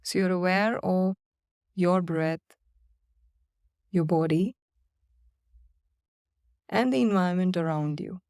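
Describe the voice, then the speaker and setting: A woman guiding a body-scan and breathing meditation in slow, calm, soothing instructions with long pauses. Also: fairly low pitch, smooth, no echo, clean studio sound. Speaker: meditation guide. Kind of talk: guided meditation.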